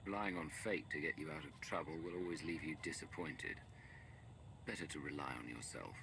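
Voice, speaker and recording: An actor playing a stern, pragmatic heroic leader in a video game, speaking in a measured, curt way. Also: male voice, rumbling low, gravelly, background noise. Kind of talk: video game dialogue acting.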